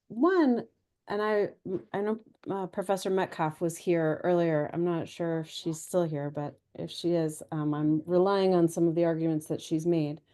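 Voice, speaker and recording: Measured, warm-toned woman historian. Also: lowish voice. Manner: measured, explanatory, lecture-like. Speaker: history professor on a panel. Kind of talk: academic panel answer.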